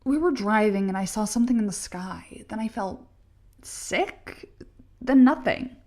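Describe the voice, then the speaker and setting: A woman's voice: medium pitch, lively intonation, measured pace, darkish tone. A woman in an audio play acting an earnest, sceptical young mycology student who speaks precisely and emphatically, sounding unsettled.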